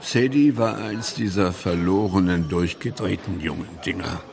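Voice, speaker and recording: Police investigator, lowish and heavily creaky, measured docudrama testimony. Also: male voice, very noisy. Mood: sober, matter-of-fact. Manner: matter-of-fact, measured recounting. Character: sober male police detective giving his account. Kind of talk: German docudrama radio play testimony.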